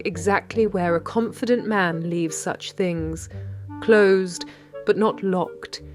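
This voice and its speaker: An actress with a British accent playing a shrewd 1930s London lady detective, narrating in a measured, wry and precise way. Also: on the low side, a little mellow, close to an everyday voice. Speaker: narrator and lead character. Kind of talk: first-person detective audio drama narration.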